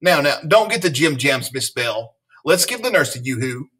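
A man's voice: mid-range voice, big pitch swings, normal speed, bright, forceful tone, breathy edge, far from the mic, heavily acted. A man voicing a folksy, bemused school principal in a storybook, exclaiming in silly slang.

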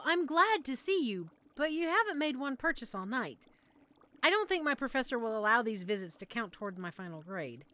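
Woman doing a chatty trainee witch for audio roleplay, rambly n flustered, bright voice that swoops around. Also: on the high side. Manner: theatrical, rambling, expressive. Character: chatty, flustered young witch-in-training running a magic shop. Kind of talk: scripted audio roleplay, addressed to the listener.

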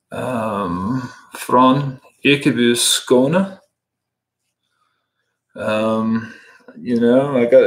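A man in his thirties from the United States, casually teaching fly tying on a livestream while chatting with viewers from home. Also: medium pitch. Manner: relaxed, chatty, answers viewer comments while working. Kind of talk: casual live tutorial with chat. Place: home room, indoors.

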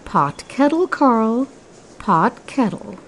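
A woman's voice: a little high, big pitch swings, slow pace, slight background noise, heavily acted, poor audio. An actress playing a cold, commanding, ruthless woman in charge in an audio drama, curt and imperious. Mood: dry mockery.

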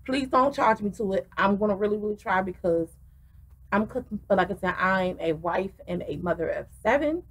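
A woman's voice: mid-range voice, lively intonation, fairly quick, husky. A middle-aged woman reviewing wine in a casual vlog, gushing and full of ums and restarts, recorded on a webcam in a small wooden room. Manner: effusive, many ums and restarts.

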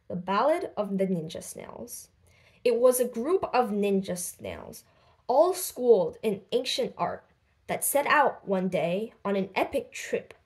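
A woman reading a children's book aloud expressively, with deliberate, dramatic phrasing and lifted voices for dialogue. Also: mid-range voice. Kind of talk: expressive storybook read-aloud.

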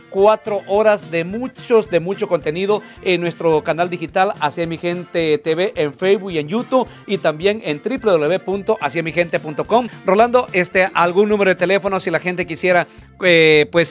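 Male studio host, 40s, high voice, upbeat pitchman delivery. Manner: upbeat, promotional. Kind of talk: studio interview. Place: small TV studio, indoors. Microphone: handheld mic.

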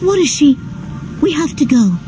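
A woman's voice: high voice, very animated, moderate pace, over music. An actress playing an earnest, caring young woman fighter in a video game, speaking with intensity. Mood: worried. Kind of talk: video game cutscene acting.

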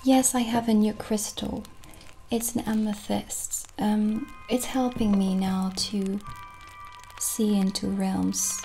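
A woman in an ASMR roleplay playing a gentle, friendly witch who keeps a potion shop, speaking softly and soothingly without hurry. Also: mid-range voice, fairly even intonation, creaky, poor audio. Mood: calm, friendly. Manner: soft, unhurried, soothing. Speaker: ASMR performer. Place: small dim candlelit room, indoors. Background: soft music.

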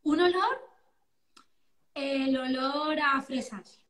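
Animated high-voiced woman in a roomy space. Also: very slow. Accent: Spain. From Valencia, Spain. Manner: animated, exclamatory. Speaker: interview guest. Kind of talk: casual chat. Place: living-room sofa at home, indoors. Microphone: phone at arm's length, video call. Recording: roomy echo over phone live stream.

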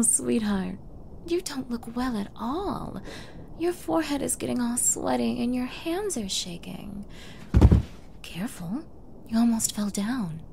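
A woman's voice: fairly high pitch, vocal fry, darkish tone, slight background noise, full character voice. A woman playing a sweetly menacing, possessive young girlfriend in an intimate ASMR roleplay, coy and cooing, often dropping into whispers. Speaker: roleplay audio performer.

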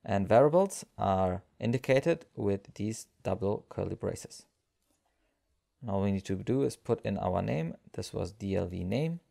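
A man narrating a software tutorial as its presenter, explaining things step by step. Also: lowish voice, expressive, a little slow, creaky.

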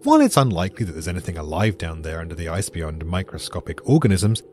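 British male explainer, dry and dramatic, lowish and animated, faint background noise. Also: fast talker. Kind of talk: scripted podcast narration.

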